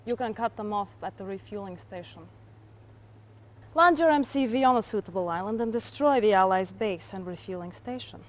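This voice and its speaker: A woman acting a cunning Soviet spy chief in a video game. Her mid-pitched voice is controlled, clipped and coolly menacing, with a faint layer of noise in the old cutscene audio. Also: animated, moderate pace, close to an everyday voice. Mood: brisk, commanding. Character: cold, cunning female Soviet intelligence chief.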